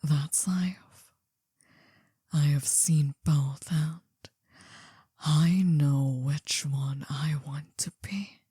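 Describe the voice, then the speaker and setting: An older female crime boss, acted by a woman, murmurs right into the ear in a very dark, mellow whisper. She is slow and deliberate, breathy and possessive, soft but dangerous in an ASMR roleplay, recorded close and dry. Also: sits low, fairly even intonation, no room sound, exaggerated voice. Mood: wistful, confiding. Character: possessive older female mafia boss, soft-spoken, coaxing yet menacing. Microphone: close dry microphone.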